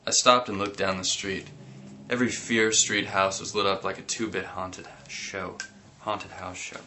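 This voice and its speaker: A man with a lowish, sharp-edged voice reads a comic story aloud. He is animated, often breaks into giggles and sudden yelps, and there is a faint hiss behind him. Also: measured pace. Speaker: reader.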